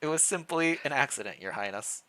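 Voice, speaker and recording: A man voicing a formal, secretive royal chamberlain woman in a story reading, speaking with measured, rehearsed calm. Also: mid-range voice, poor audio. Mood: guarded, flat.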